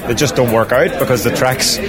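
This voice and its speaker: A man's voice: medium pitch, brisk, loud music behind the voice. A man competitor speaking thoughtfully in a casual interview.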